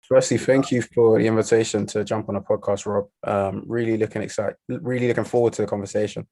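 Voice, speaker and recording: A man's voice: fairly low pitch, steady tone, quick pace, warm tone, no room sound. A young man in his twenties, a podcast guest, talking about his career with frequent hesitations. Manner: hesitant, lots of ums. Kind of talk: podcast interview.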